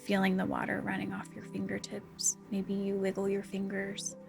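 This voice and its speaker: A woman leading a guided meditation, speaking in a soft, calm, unhurried and soothing way. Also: medium pitch, gravelly, music in the background. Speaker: counselor guiding a body scan meditation.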